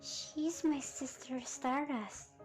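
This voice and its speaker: A woman voices a small orphan filly, five years old and sweetly timid, for an audio drama. She speaks high and slowly, in halting childlike phrases, over soft music. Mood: shy, sad.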